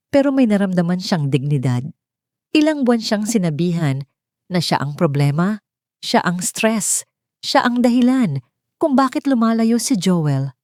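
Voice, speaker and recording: A woman's voice: on the low side, darkish tone, dry recording, studio quality. A woman with a Filipino accent reading scripted, dramatic narration as a storyteller.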